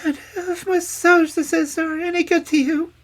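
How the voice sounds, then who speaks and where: A woman's voice: on the high side, normal speed, fairly bright tone, pitched above the performer's own voice. A woman voicing a meek, sorrowful young princess in a storybook, pleading politely and plaintively. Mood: desperate pleading. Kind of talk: storybook character voice.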